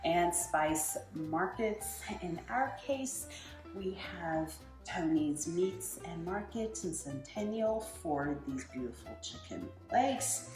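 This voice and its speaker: Slow-paced American woman hosting a cooking show. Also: fairly low pitch, expressive, a little mellow. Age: 40s. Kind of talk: casual home cooking demonstration. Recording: roomy kitchen echo with music. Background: music, sizzling food and stirring.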